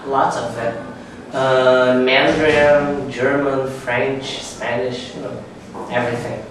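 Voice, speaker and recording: A man, a language teacher, answering interview questions in a relaxed, confident way. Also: mid-range voice, takes it slowly. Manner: relaxed, confident, peppered with 'you know'. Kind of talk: class project interview. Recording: roomy echo, distant mic, faint noise.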